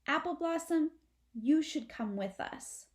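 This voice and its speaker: A woman's voice: sits high, animated, slow pace, pitched above the performer's own voice. A woman voicing an insistent, pleading, caring young male possum in a children's storybook. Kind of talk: children's storybook read-aloud.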